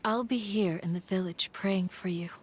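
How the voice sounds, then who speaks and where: A woman playing a calm, caring village guardian in a video game, gentle, earnest and composed. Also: mid-range voice, moderate pace, background noise, lightly acted. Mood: caring, solemn. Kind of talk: video game character acting.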